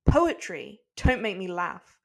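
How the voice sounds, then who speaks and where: A woman's voice: lowish voice, sing-song, slow, vocal fry, exaggerated voice. Woman voicing a proud, showy male cook. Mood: indignant. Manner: indignant, showy, mocking. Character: proud, dramatic male cook, smug romantic.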